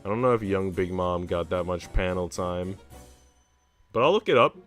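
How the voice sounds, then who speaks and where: A man's voice: low voice, normal speed, fairly bright tone, faint music behind. A man chatting informally as a streamer, in a casual, conversational way. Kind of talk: casual livestream chat.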